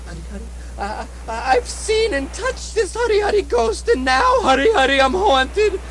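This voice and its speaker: A woman's voice: extremely high pitch, big pitch swings, moderate pace, crowd noise, low-quality recording. A woman playing a terrified, superstitious fisherman in a puppet show, stammering and breaking into a repeated chant. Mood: fearful, distraught. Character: terrified, ghost-haunted male fisherman, frantic and superstitious. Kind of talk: theatrical puppet-show acting.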